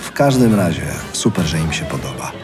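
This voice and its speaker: An actor playing a self-assured, boastful man in his forties in a naturalistic audio drama, flattering and smooth-talking. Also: low-pitched, a little fast, music in the background. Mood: confiding, opinionated. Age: middle-aged.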